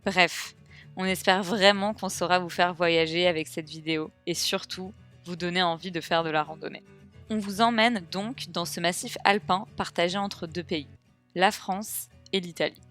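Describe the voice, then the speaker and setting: A woman's voice: on the low side, fairly bright tone, soft background music. Young woman, friendly narrating voice. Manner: measured, friendly storytelling. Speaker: vlogger narrating a hiking trip. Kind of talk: scripted voice-over narration. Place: voice-over, not recorded on the trail.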